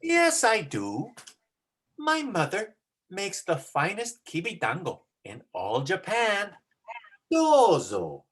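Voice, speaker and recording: A performer playing an earnest, brave teenage boy hero in an amateur folktale reading, declaiming solemnly and deliberately. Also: medium pitch, wildly swooping pitch, breathy edge, full character voice. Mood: bold.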